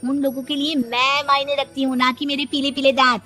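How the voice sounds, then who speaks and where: A high, sassy young daughter-in-law acted out by a woman from a cartoon family drama. She is proud and self-assured, quick to take offence, and speaks over background music. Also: little pitch movement, pushed and bright. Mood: indignant.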